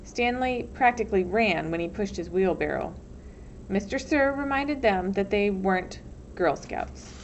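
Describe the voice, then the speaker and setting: A woman's voice: mid-range voice, unhurried. A woman reading a storybook aloud as narrator, expressively, like a teacher. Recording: dull lo-fi sound, faint noise.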